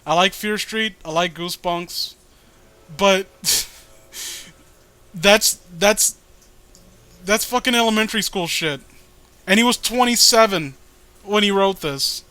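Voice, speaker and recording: Man, high-pitched, sharp bright tone, casual comedic commentary, rambling, exasperated, sweary. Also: slow pace, long pauses, clear, resonant tone, no room sound. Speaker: co-host of a comedic reading show. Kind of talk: casual comedic commentary while reading aloud.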